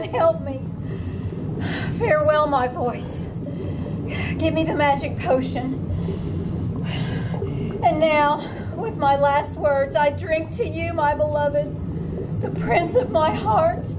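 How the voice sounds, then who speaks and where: A frightened but resolved young mermaid, acted by a woman, pleads in a high voice. She speaks slowly and hesitantly, with lovelorn radio-play melodrama. The live theater recording is very noisy. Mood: tragic farewell. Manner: hesitant, breathlessly earnest, melodramatic. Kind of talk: old-time radio drama acting.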